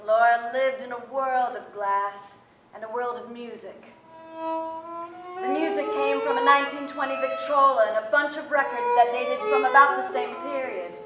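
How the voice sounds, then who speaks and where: Actress as gentle young woman, high-voiced. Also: fairly even intonation, normal speed, natural voice. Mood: tender. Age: young adult. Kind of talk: theatrical staged reading. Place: theatre stage before an audience. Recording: echoey distant stage microphone, violin music. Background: violin music.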